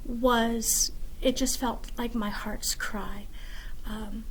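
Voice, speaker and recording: A middle-aged woman speaks reflectively as a guest poet. Her voice sits fairly high, and she stops to think with small fillers. A faint hiss sits under the recording. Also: steady tone, very slow, low-quality recording. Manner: thoughtful, warm storytelling with fillers. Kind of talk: casual reflective conversation.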